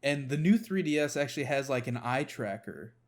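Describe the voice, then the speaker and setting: A man with a medium-pitched voice chats as a podcast co-host. His delivery is informal and conversational, wandering loosely from thought to thought. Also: lively intonation, brisk. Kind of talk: casual chat about games.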